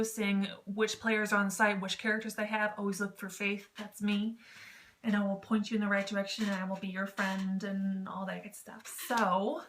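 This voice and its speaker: A woman's voice: mid-range voice, fairly bright tone. A woman vlogger giving informal advice in a rambling, slightly self-conscious way. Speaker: YouTube vlogger. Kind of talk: casual advice vlog on online text roleplay.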